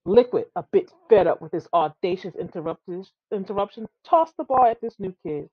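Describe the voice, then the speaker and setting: A woman's voice: mid-range voice, animated, a little fast, no echo, lo-fi sound. A woman reading a children's tall tale aloud, expressively and with some stumbles over the words. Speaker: storybook reader.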